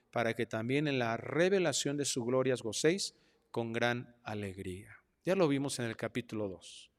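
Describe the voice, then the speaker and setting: Mexican pastor preaching in a reverberant hall. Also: male voice, medium pitch. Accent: Mexican Spanish. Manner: animated preaching, swinging from quiet asides to loud mocking voices. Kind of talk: sermon. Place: church hall.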